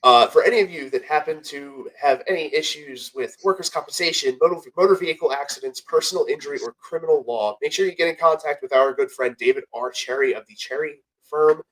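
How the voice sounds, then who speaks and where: A man's voice: on the high side, brisk, few pauses, a bit bright, low-quality recording. A young man co-hosting a casual sports podcast. He sounds a little nasal and congested from a cold, and he rambles, corrects himself and jokes through his ad reads. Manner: rambling, self-correcting, joking. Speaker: podcast co-host.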